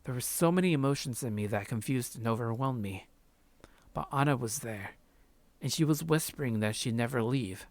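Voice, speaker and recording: A man's voice: mid-range voice, lively intonation, normal speed, dry recording, lightly acted. A man reading first-person visual novel narration as a numb, heartbroken man, subdued and brooding. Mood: overwhelmed.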